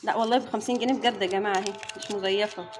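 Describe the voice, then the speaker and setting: A woman's voice: mid-range voice, fairly even intonation, racing, over music. A young woman with an Egyptian accent, chatting casually to viewers as she unboxes snacks and counts items aloud. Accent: Egyptian Arabic. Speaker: family vlogger and mother. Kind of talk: casual unboxing vlog chat.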